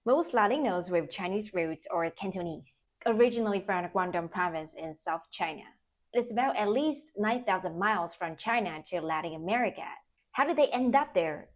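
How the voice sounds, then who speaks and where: A young woman host narrating a food documentary in a clear, even storytelling tone. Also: mid-range voice, normal speed. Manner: clear, even storytelling with brief mm-hmm cues. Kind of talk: scripted documentary narration and interviewing.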